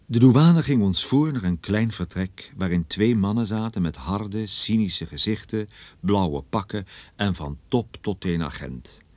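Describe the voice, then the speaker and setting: Wry male private eye narrator, radio drama, lowish voice, very varied pitch. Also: animated, unhurried, darkish tone, no room sound. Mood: wry, bemused. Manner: dry, ironic, literary phrasing. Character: wry male private detective narrating his own story. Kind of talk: scripted first-person radio drama narration.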